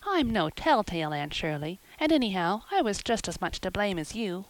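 A woman voicing an excitable, loyal young girl who talks quickly and with girlish emphasis. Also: medium pitch, no echo, natural voice, rough recording. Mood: excited.

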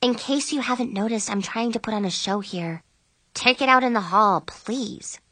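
A woman's voice: a little high, normal speed, sharp tone, no echo. A woman voicing a bossy, officious teenage girl in charge, firm and curt, in an audiobook. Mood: annoyed.

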